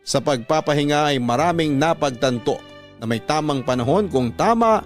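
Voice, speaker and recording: A man's voice: fairly high pitch, expressive, moderate pace. A man narrating a scripted first-person love story for radio in an earnest, sentimental manner, over instrumental music.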